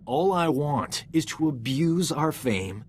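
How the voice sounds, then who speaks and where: An actor playing a cocky, flirtatious medic in a video game, smooth-talking and boastful, with a theatrical way of talking. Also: male voice, fairly high pitch, expressive, slow pace, a little hiss or hum. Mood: exasperated, pleading. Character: cocky, flirtatious male medic, self-promoting charming rogue. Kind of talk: video game character dialogue.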